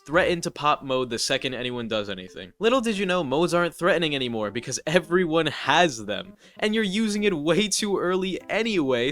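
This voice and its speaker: A man's voice: a little high, a bit bright. A man with a congested, stuffy voice doing a scripted, sarcastic voice-over roast as a gaming YouTuber. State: congested, nasal from allergies.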